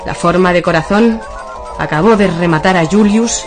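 A woman's voice: on the low side, moderate pace. A woman with an accent from Spain narrating a scripted radio story. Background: music.

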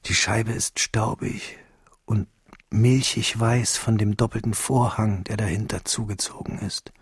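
An actor playing a grieving young schoolteacher who narrates his memories in a slow, hushed, sorrowful way for a radio drama. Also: lowish voice, fairly even intonation, no room sound, studio quality, exaggerated voice. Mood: reflective, sober. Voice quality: husky, breathy, gravelly.

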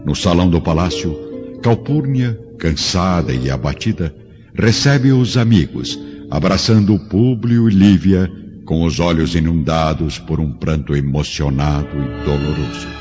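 A man's voice: sits low, expressive, measured pace. A man narrating a radio drama in a solemn, literary, dramatic style. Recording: dull lo-fi radio drama, music behind.

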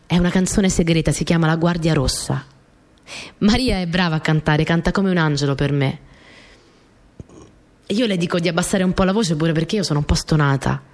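A woman's voice: low voice, fairly quick, a little mellow. An actress playing an earnest, dreamy young Italian partisan courier who recalls her wartime girlhood in an intimate, simply told radio monologue. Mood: fond, lively. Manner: simple, conversational, reminiscent storytelling with short phrases. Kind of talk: radio theatre monologue, intimate first-person storytelling.

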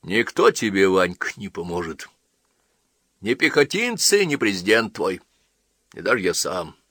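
A male narrator acts out an elderly village priest from a storybook. He is folksy and gruff yet affectionate, moving widely in pitch, with a dry, close sound. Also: very animated, unhurried, sharp tone, no room sound, exaggerated voice. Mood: stern. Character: cheerful old village priest, blunt gentle holy fool.

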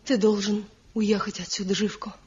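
Sorrowful, resolute village wife, breathy mid voice, lo-fi vintage radio drama. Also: female voice, mid-range voice, level tone, normal speed, darkish tone. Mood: firm. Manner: earnest, sorrowful, firm. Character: young village wife, torn between husband and old love. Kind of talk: 1970s radio play acting. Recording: dull lo-fi old radio recording.

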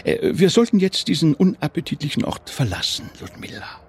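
Smug, plump director: pompous, condescendingly fond, husky and highly animated, radio comedy drama. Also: male voice, a little fast, full character voice. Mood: self-satisfied. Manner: pompous, explanatory, condescendingly affectionate. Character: portly, smug zoo director, doting lover. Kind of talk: theatrical radio drama dialogue.

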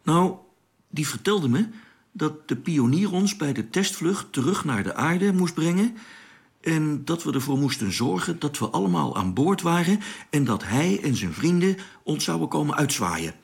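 Spaceship radio operator, fairly high voice, plain-spoken, naive, radio drama. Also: male voice, animated, moderate pace, gravelly. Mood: puzzled.

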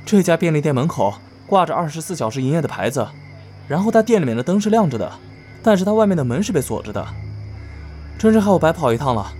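A man's voice: fairly high pitch, very animated, fast talker, darkish tone, faint music behind. A man playing a caring, chatty young male friend in an audio drama, easily exasperated. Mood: playful exasperation. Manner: chatty, mildly grumbling.

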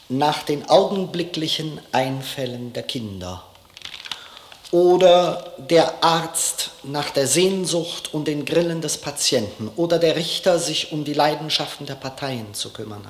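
A man playing a reflective diarist in a 1950s radio play, reading and narrating deliberately in a literary style. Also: on the high side. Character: reflective male diarist reading a memorandum aloud. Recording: echoey room, 1950s radio recording.

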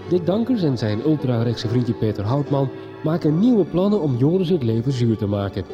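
A man narrates a radio soap recap in a scripted, announcing style. Clear music plays beneath him. The recording is slightly dull, like a nineties broadcast. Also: fairly high pitch. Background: music under the voice.

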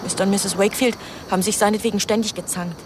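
A woman's voice: mid-range voice, steady tone, quick pace, background noise, close to an everyday voice. An actress playing a resentful young woman confiding secrets hesitantly in a radio crime drama. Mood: confiding, bitter.

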